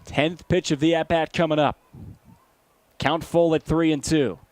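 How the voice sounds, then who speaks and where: An American man calling baseball play-by-play as a broadcaster, animated and given to shouting on big plays. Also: fairly high pitch, a little slow, no echo. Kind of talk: live sports play-by-play commentary.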